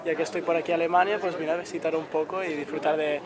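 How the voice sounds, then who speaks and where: Man, Barcelona, quick speech, slightly high voice, easygoing tourist. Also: steady tone, a crowd in the background. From Barcelona, Spain. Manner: easygoing, short answers, repeats "sí". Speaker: tourist being interviewed. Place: outdoors on an observation tower.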